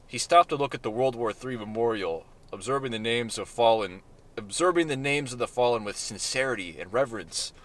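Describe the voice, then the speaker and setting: A man reading his own short story aloud in a deadpan manner, with self-mocking asides. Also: mid-range voice, brisk.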